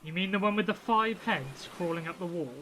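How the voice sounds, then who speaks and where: Woman as a lowish-voiced, bewildered space traveller. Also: expressive, fairly quick, a bit bright, soft background music. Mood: bewildered, dry. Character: sceptical, bewildered, polite reluctant space traveller. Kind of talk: comic sci-fi audio drama acting.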